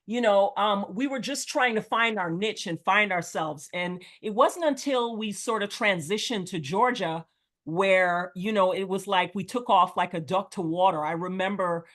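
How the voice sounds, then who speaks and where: A woman's voice: medium pitch, normal speed, a bit bright. Chatty middle-aged woman on a video call. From born in Jamaica, grew up in the United States. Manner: chatty, enthusiastic, rambling. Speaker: real estate coach, guest. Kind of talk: casual webinar talk.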